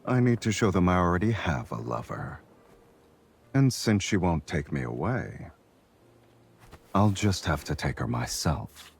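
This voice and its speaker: A man voicing a confident, teasing champion fighter and lover in a romance game, with a husky voice. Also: deep voice, sing-song, unhurried, long pauses, exaggerated voice. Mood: flirtatious. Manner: smooth-talking, teasing.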